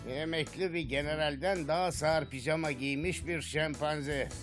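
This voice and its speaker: A man's voice: on the high side, steady tone, normal speed, a bit bright, faint music behind, lightly acted. A man voicing a sardonic, mocking senior military commander with theatrical sarcasm in a Turkish cartoon dub.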